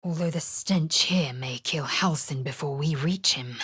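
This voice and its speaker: Stern haughty alien warrior girl for a video game, husky n dry close sound, disdainful. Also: low-pitched, measured pace, a bit bright, no room sound, heavily acted. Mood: hushed. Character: fierce, haughty young female alien githyanki warrior. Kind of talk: video game character lines and narration.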